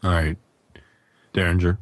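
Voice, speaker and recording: A man with a very deep voice hosts a casual gaming podcast. His delivery stays quite flat, and the sound is dry and close. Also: a little slow, dry recording. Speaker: podcast host.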